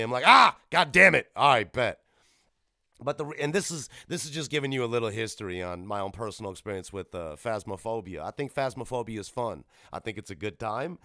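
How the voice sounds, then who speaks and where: A man hosting a podcast, giving casual, sweary gaming commentary with animated, informal storytelling on a close mic. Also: on the low side, no room sound. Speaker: podcast host. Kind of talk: casual gaming news commentary with personal anecdotes.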